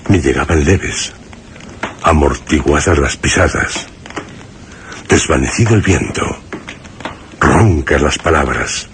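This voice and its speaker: Very deep, slow, wary narrator. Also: male voice, slight background noise, full character voice. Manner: measured, solemn, halting phrases. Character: wary man narrating his dreadful encounter with a mad friend. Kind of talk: scripted suspense radio drama narration.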